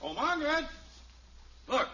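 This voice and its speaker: A man's voice: extremely high pitch, very animated, unhurried, bright, forceful tone, full character voice. An actor playing an indignant, mock-pompous family father in a 1950s radio sitcom. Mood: exasperated. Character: Jim, exasperated, proud middle-class family father. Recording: muffled old radio recording, faint hiss.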